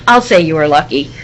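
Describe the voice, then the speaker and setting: A woman playing a wry, teasing, brisk newspaper reporter in old-time radio style. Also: on the low side, a bit bright, slight background noise. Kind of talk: live old-time radio drama acting.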